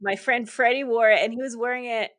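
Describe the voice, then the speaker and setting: A woman's voice: on the high side, fairly even intonation, fast, a bit bright. Chatty woman who laughs a lot. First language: English. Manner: chatty, laughs often, many fillers. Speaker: podcast host, interviewed guest. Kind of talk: casual interview conversation.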